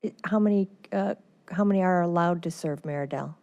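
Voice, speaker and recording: A woman talking off the cuff and haltingly at a public meeting. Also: on the low side, darkish tone, dull audio. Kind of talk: unscripted talk at a public meeting.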